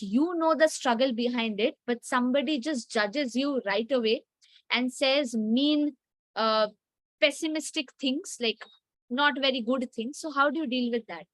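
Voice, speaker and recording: A woman's voice: sits high, a bit bright, no echo, rough recording. A young woman with an Indian English accent and Hindi as her first language, asking long, reflective interview questions with personal asides. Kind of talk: casual conversational interview.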